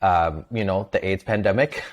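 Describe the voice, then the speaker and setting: A man whose first language is Spanish speaks in a fairly low, soft voice. He talks casually as a podcast guest, often slipping small laughs between phrases. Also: moderate pace. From Venezuela. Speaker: guest, content creator. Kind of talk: casual interview conversation.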